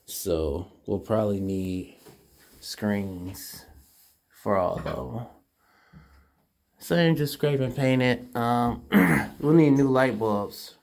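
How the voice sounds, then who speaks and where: A man talking casually and informally with a colleague during an indoor walkthrough, thinking aloud and making quick decisions. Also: medium pitch, vocal fry, darkish tone. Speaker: property manager doing a walkthrough inspection. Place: indoors, rooms of an empty house under inspection.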